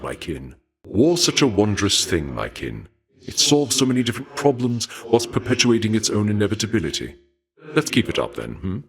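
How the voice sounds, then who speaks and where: A very low voice that rises and dips expressively a game's god of war. He is courtly and formal, savouring bloodshed with polite, theatrical menace. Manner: formal, courtly, measured, savouring talk of violence. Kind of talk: theatrical video game character dialogue.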